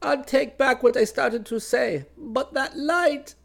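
A man voicing a dramatic, easily horrified young alien woman in a shrill manner for a storybook. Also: slow, gravelly, heavily acted. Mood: shaken. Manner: shrill, melodramatic.

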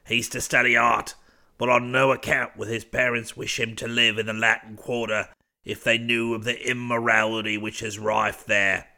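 A man voicing a fussy, prim old doctor, pompous and moralising, with a creaky, strained voice in a storybook reading. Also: mid-range voice, level tone, normal speed, pushed and bright. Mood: solemn, prim.

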